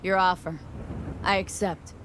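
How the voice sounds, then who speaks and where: A woman's voice: lowish voice, level tone, unhurried, fairly bright tone, a little hiss or hum. An actress playing a tough young female mercenary in a video game, clipped, casual and guarded, with a dry delivery. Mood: guarded, determined.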